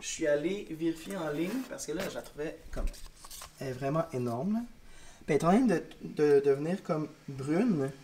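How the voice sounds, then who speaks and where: A man's voice: fairly high pitch, animated, normal speed, dark tone, a little hiss or hum. A male schoolteacher with a Quebec French accent, congested, chatting casually to camera in a rambling, tired and frank way. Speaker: elementary school teacher. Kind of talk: casual vlog talk to camera. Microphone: camera mic.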